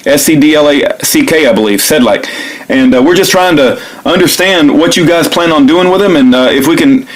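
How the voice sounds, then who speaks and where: A man with a somewhat high voice speaks in an earnest, informal register, like an activist making a call on camera. His delivery is halting and repetitive, with a pleading insistence. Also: rough recording. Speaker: activist video maker recording himself making a phone call. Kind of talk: earnest phone message and informal narration to viewers.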